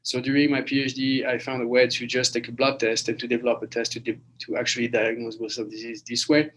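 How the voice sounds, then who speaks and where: A man's voice: mid-range voice, completely flat delivery, quick pace, a bit bright, slight background noise, low-quality recording. A man from France, giving a webinar lecture over a video call, with many fillers and restarts. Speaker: guest lecturer.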